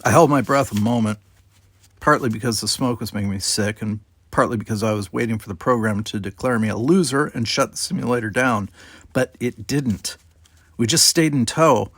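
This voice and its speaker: A man's voice: mid-range voice, fairly bright tone, dry recording. A man reading dramatic first-person scripted narration as an audiobook narrator.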